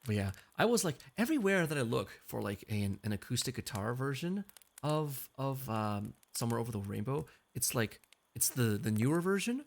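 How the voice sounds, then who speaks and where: A male streamer with a gravelly edge to his voice, chatting in a relaxed, joking way between songs. His pitch swings widely as he teases his chat and reads comments aloud. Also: normal speed. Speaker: VTuber streamer.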